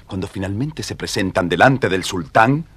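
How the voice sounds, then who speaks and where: A man's voice: sits low, animated, normal speed, loud music behind the voice. A man with an Argentine accent giving scripted narration of a children's story.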